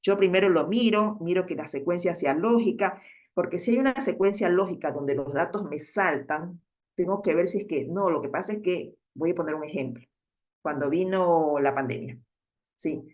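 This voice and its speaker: A woman's voice: lowish voice, moderate pace, darkish tone. A woman giving a class lecture, explaining patiently and checking in with her students, with frequent hesitations. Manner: explains patiently, asks "¿sí?" often, many "eh" fillers. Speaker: instructor.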